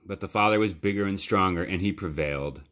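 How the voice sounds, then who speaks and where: A man reading a children's novel aloud as a library storyteller, with measured, dramatic pauses. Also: deep voice, fairly bright tone. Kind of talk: expressive children's audiobook-style narration.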